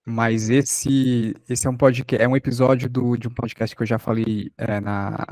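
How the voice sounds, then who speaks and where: A Brazilian man gives an informal lesson in a mid-pitched voice. He feels his way through sentences haltingly, and the sound is dry and close. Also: little pitch movement, a little mellow, dry recording, poor audio. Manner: halting, thinking aloud. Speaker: workshop teacher.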